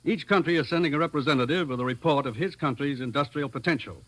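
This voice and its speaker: An actor playing a brisk, authoritative American government commissioner in a 1950s radio drama. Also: male voice, a little high, a bit bright. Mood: stern, urgent. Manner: businesslike, authoritative. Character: brisk, stern male government commissioner briefing his agent. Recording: vintage 1950s radio recording.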